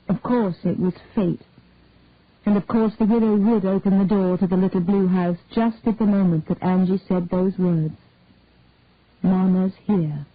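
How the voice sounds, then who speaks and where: A woman narrating a detective story in a measured, even storytelling manner. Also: medium pitch, no echo. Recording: tinny dry phone-like sound.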